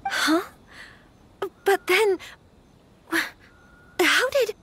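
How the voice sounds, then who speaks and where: High-voiced, anxious young noblewoman. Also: lively intonation, very slow, fairly bright tone, full character voice. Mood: surprised, unsure. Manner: polite, earnest, hesitant, formal phrasing. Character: anxious, well-bred young noblewoman doubting her wedding. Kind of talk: video game voice acting. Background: background music.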